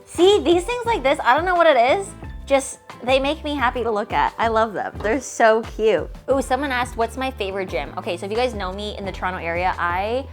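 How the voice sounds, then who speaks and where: A woman's voice: on the high side, animated, a little fast, soft background music. A 29-year-old woman, a fitness creator, talking casually and at length, peppering her speech with fillers and gushing over cute toys. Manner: chatty and rambling, full of "like" and "okay?"; gushing, squealy delight over little toys. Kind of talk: casual vlog Q&A and unboxing.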